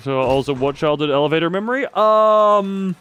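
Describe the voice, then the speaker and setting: A man's voice: a little high, animated, normal speed, fairly bright tone, smooth, lo-fi sound. A man giving casual live gameplay commentary, rambling playfully and breaking into exclamations and silly sound effects. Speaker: live streamer.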